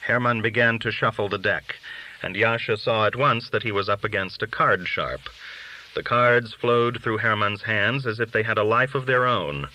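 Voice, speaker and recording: A man reading a literary story aloud as an audiobook narrator, with expressive, animated delivery. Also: lowish voice, normal speed, poor audio. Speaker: audiobook reader.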